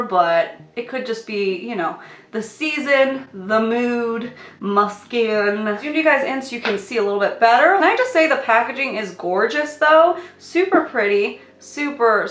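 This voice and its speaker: A woman's voice: a little high, fairly bright tone. A young woman reviewing makeup in a casual, upbeat vlog, sounding nasal and a little sick. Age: young adult. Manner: chatty, upbeat, sing-song catchphrases. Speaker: beauty vlogger. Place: small indoor filming space. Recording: echoey room.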